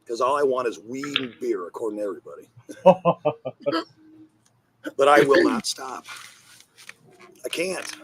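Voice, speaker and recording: A man giving a personal monologue in a husky voice, blunt and profane, pausing between phrases. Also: mid-range voice, big pitch swings, noisy background. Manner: blunt, profane, halting with pauses. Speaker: comedian and charity founder.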